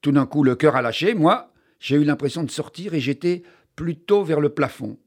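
Animated older man telling a story. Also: on the high side, normal speed. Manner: animated storyteller, many restarts, stressed key words. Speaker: author and journalist guest. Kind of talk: conversational interview.

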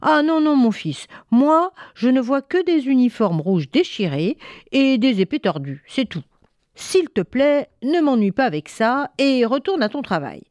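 A stubborn, protective country mother, played by a woman storyteller speaks in a firm, evasive way. Her pitch swoops widely, as in a spoken storybook, with a dry close sound. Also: lively intonation, a little slow, dark tone, no room sound. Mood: dismissive, firm. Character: protective, stubborn peasant mother of two sons.

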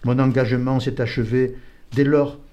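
A man narrates a literary first-person text in a mellow, warm voice. He reads slowly and evenly, giving each phrase its own weight. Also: mid-range voice, flat delivery, dull audio. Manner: slow, measured literary reading. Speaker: narrator reading his text.

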